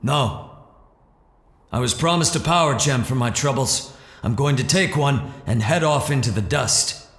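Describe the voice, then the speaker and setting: A man playing a stalwart wandering monster hunter in an audio drama, speaking in a measured, formal and resolute way. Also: mid-range voice, fairly bright tone. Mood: grim, resolute. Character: wandering monster hunter, stalwart adventurer in a post-nuclear wasteland. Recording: echoey hall reverb, faint music.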